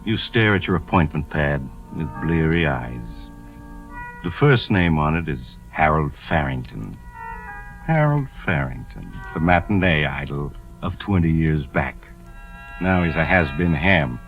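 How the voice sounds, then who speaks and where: An actor playing a sinister, suave storyteller host, narrating 1950s radio suspense in an ominous, insinuating manner. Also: male voice, extremely low pitch, big pitch swings, measured pace, heavily acted. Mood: grim, insinuating. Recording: dull vintage radio recording, music behind.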